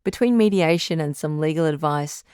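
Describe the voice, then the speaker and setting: An actress playing a patient woman advice-show doctor in an audio drama, measured, tactful and reassuring. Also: sits low, a little mellow, clean tone, dry recording, clean studio sound, close to an everyday voice. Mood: reassuring, practical.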